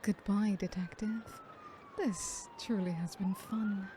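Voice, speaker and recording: Woman playing a smug, mellow-voiced female killer. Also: medium pitch, fairly even intonation, slight background noise, natural voice. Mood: smug, taunting. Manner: theatrical, unhurried, often dropping into breathy whispers. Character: sadistic female serial killer, smug, taunting, playfully menacing. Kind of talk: ASMR horror roleplay acting.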